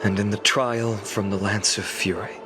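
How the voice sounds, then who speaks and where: A man's voice: lowish voice, level tone, normal speed, lightly acted. A man voicing an earnest young male hero who carries old grief, in a video game. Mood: somber, haunted. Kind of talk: video game story dialogue. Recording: music behind the voice.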